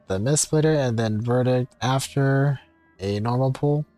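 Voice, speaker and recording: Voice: mid-range voice, measured pace, dark tone, no room sound, soft background music. A game streamer chatting casually while commenting on a game live.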